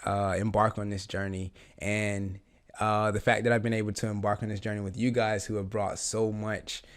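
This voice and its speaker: Lowish raspy guy getting over the flu, rambling fan reaction, lots of ums. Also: flat delivery, normal speed. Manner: rambling, many fillers and restarts, eager fan. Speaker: reaction channel host. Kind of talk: casual reaction commentary.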